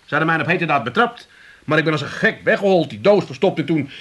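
Amsterdam small-time burglar, fairly high voice, defensive and sheepish, radio comedy delivery. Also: male voice, animated, quick pace, a bit bright. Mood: excited, boastful. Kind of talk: comic radio play acting.

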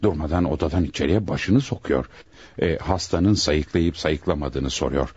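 A man's voice: extremely low pitch, moderate pace, a little mellow, husky, dry recording. An actor playing an obedient policeman on guard duty in a radio play, deferential and a little hesitant as he explains. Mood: hesitant, reporting. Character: obedient male policeman guarding a delirious patient. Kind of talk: radio theatre acting.

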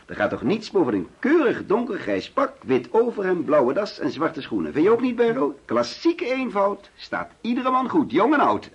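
An actor playing a genteel, anxious older husband in a radio play, talkative and patronising. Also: male voice, high-pitched, wildly swooping pitch, moderate pace, hardly any pauses, slightly rough, muffled recording, exaggerated voice. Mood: fussy, insistent. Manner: fussy, insistent, patronising. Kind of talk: Dutch radio play acting.

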